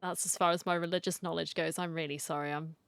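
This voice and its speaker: Low-pitched woman game streamer reacting casually. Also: flat delivery, fast talker, gravelly, clean tone, no echo. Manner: chatty, wry asides.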